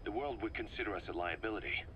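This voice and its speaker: Man acting a deep, hard-bitten mercenary commander. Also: fast talker, highs cut off, a little hiss or hum, natural voice. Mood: grim, bitter. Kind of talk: dramatic video game acting, expository briefing.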